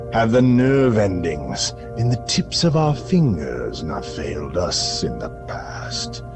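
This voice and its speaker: An actor playing an eccentric, brooding male radio host, delivering theatrical scripted monologues in a portentous, philosophical manner. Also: mid-range voice.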